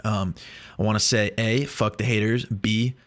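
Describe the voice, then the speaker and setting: A man's voice: lowish voice, fairly even intonation, normal speed, no room sound, studio quality. A man, rambling and joking in a casual vlog monologue, boastful and swearing freely. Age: 20s. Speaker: vlogger. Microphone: lav or shotgun mic.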